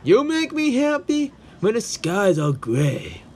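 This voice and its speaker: A man giving an amateur dramatic comic reading in a halting, exaggerated manner on a home recording. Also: high-pitched, wildly swooping pitch, a little mellow, a little hiss or hum.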